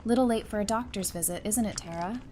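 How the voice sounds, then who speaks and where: A woman's voice: mid-range voice, fast, mellow, a little hiss or hum, close to an everyday voice. Woman acting a playful, teasing young survivor. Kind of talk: fan audio drama acting.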